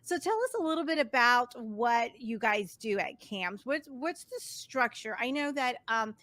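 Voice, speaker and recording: A woman's voice: on the high side, animated, unhurried, a bit bright, no room sound. A woman hosting a podcast interview, asking questions in an upbeat, enthusiastic way. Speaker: podcast host.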